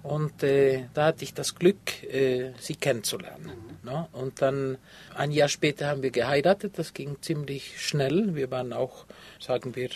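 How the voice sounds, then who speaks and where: A man's voice: mid-range voice, normal speed, darkish tone, slight background noise. A man in his fifties from Ecuador, answering interview questions in a hesitant way with many filler sounds. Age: 50s. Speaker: guest, photographer.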